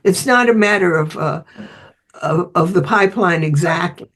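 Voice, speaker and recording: A woman's voice: fairly low pitch, moderate pace, a bit bright, slightly rough. A woman answering interview questions as an expert author, explaining conversationally and voicing short imagined quotes as she goes.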